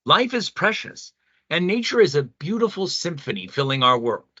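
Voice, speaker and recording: A man's voice: a little high, big pitch swings, normal speed, bright, forceful tone, husky, no echo, highs cut off, full character voice. A man playing an earnest, mystical pastor who argues in a solemn, preachy way in an audio play. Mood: earnest, reverent. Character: earnest, mystical male pastor and spiritual leader. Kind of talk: radio play debate acting.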